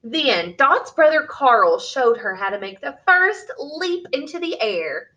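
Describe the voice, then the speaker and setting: High-voiced woman reading a children's story slowly. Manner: expressive, deliberate delivery for young children. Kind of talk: read-aloud picture book storytelling.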